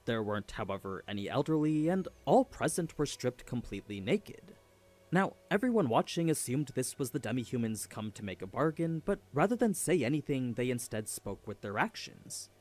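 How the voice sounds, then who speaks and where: Guy narrating a scripted lore recap, really lively up and down delivery. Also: medium pitch, normal speed. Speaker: video narrator.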